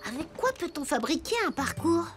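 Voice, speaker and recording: A woman's voice: high voice, big pitch swings, normal speed. A woman voicing an eager young toy boy detective in a children's cartoon, curious and childlike in manner. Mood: inquisitive. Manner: curious, bright-eyed, childlike. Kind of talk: animated children's cartoon acting. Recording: music behind the voice.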